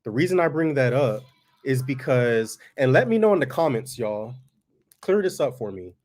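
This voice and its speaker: Man, monotone delivery, laid-back, folksy, casual vlog host, middle-pitched voice. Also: moderate pace. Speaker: family farm vlogger, host.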